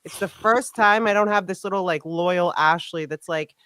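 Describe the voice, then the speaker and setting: A woman's voice: on the low side, normal speed, a bit bright. A woman talking candidly in a casual conversation, full of "like" fillers. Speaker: podcast host.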